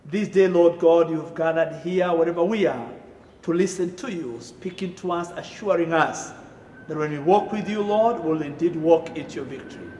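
A middle-aged man with a Kenyan accent, preaching a sermon in a church, emphatic and rising loud on key phrases. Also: fairly high pitch, moderate pace, slight background noise. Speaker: pastor. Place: church sanctuary, indoors. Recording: echoey room reverb.

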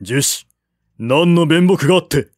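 A man voicing a stern old warlord king in an audiobook, scolding in a forceful, commanding way. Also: on the high side, expressive, a little slow, dry recording, studio quality, pitched above the performer's own voice. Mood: furious.

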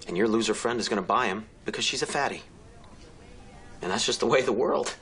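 A man playing a vain, menacing narcissist in a sitcom, with a creepy voice, grandiose and deliberately dramatic in delivery. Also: on the low side, a bit bright. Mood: boastful. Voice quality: creepy voice, slipping into breathy whispers. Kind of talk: sitcom monologue acting.

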